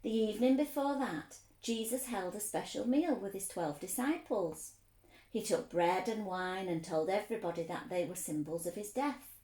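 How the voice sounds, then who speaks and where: A woman reading a story aloud for children, expressive, explaining things simply as a teacher would. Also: medium pitch, normal speed, echoey room, poor audio.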